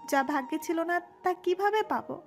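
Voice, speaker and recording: Woman acting a high-voiced heartbroken young woman. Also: normal speed, faint music behind. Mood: sad, resigned. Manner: sad, self-sacrificing. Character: heartbroken young woman, politely giving up her love.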